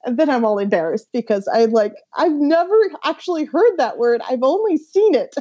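A woman chatting casually as a guest author on a podcast, giggly and animated, peppering her storytelling with "like". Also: sits high, normal speed, dull audio.

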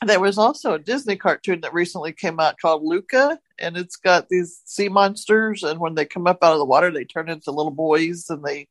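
A woman's voice: lowish voice, fairly quick, few pauses. A woman co-hosting a casual talk show, retelling odd news stories in a conversational way.

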